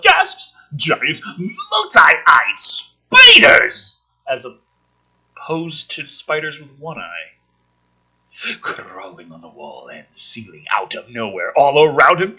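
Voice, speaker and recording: A man with a very bright, forceful voice that has a rough, husky edge. He reads script aloud with exaggerated drama, then drops into rambling, sarcastic, profane commentary as the host. His pitch swings around in a lively way. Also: poor audio. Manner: sarcastic, exaggerated dramatic delivery when reading. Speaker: host reading and commenting on a film script draft. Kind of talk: casual sweary commentary mixed with dramatic script read-aloud.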